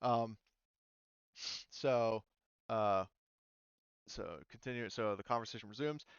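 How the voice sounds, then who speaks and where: Male game master, halting narration with self-corrections, resonant tone, dry and slightly muffled recording. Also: lowish voice, smooth, dry recording. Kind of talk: narrating a tabletop roleplaying game.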